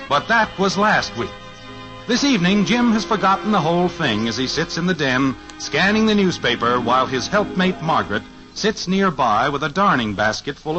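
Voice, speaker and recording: A man announcing and narrating a 1950s radio sitcom in a measured, smooth delivery. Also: a little high, muffled recording. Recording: old radio transcription with music behind. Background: music.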